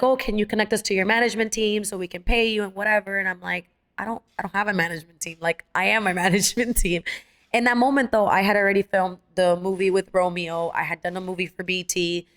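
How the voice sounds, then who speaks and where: A young woman chats as a guest, her speech quick and tumbling along. She tells her story animatedly and slips into the voices of the people she quotes. Her pitch sits in a middle range for a woman. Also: a bit bright. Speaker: guest, actress and singer. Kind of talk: casual conversational storytelling.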